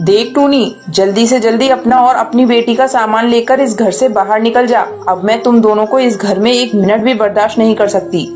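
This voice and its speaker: A woman's voice: fairly high pitch, normal speed, few pauses, darkish tone, natural voice. A woman voicing a spiteful, scheming female sparrow in a cartoon, sneering and sly, with music behind. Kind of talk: dubbed cartoon acting.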